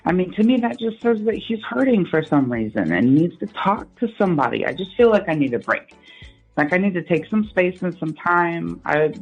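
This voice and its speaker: A woman's voice: lowish voice, sing-song, normal speed, breathy edge, lo-fi sound. Earnest woman caller over background music. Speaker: radio show caller. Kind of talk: casual phone conversation.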